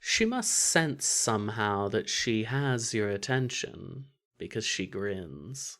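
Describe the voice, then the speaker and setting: A woman reading scripted second-person fan fiction narration in an expressive, wry way. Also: rumbling low, slow pace.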